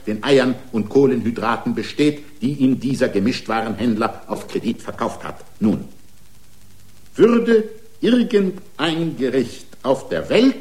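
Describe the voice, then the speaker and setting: An actor playing an aggrieved, excitable racing driver in a radio drama, pleading his case in indignant, long-winded, formal rhetoric. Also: mid-range voice. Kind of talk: radio drama acting, courtroom speech.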